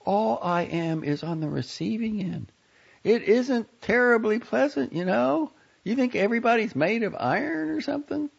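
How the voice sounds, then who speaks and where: A man's voice: high-pitched, animated, moderate pace, gravelly, husky, voice pushed up, heavily acted. A man reading aloud and voicing a young woman on the phone who is exhausted and exasperated. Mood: weary. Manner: pleading, exasperated. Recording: dull lo-fi audio.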